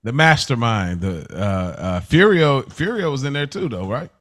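A man's voice: mid-range voice, sing-song, moderate pace, fairly bright tone. A man hosting a podcast in a casual chat about a TV show, speaking informally with plenty of slang. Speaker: podcast host. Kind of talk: casual chat reviewing a TV series.

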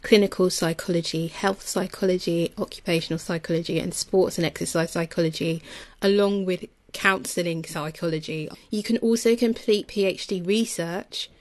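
A woman hosts a podcast, laying out an explanation in a clear, even way before turning to her guest. She sounds close to the mic, with almost no room sound. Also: fairly low pitch, moderate pace, dry recording. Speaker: podcast host. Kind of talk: explanatory intro, then interviewing.

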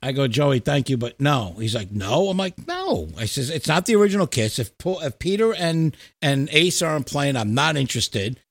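A man's voice: medium pitch, sing-song, normal speed, few pauses, dry recording. A middle-aged man hosting a casual chat podcast, talking in a blunt, emphatic, opinionated way with plenty of swearing. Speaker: podcast host.

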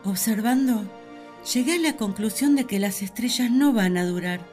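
A woman's voice: fairly high pitch, lively intonation, normal speed, darkish tone, soft background music, natural voice. A woman playing Eve, an earnest, talkative young first woman, telling her story in a radio play. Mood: wistful. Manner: earnest, wistful, storytelling to the listener.

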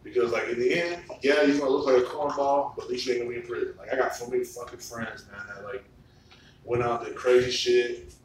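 A man in his thirties talking loosely and profanely at a middling pitch. He sits well back from the mic in a very echoey room with faint hiss behind him. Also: steady tone, fast, recorded from a distance. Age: 30s. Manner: loose, profane storytelling with frequent "like". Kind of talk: casual chat.

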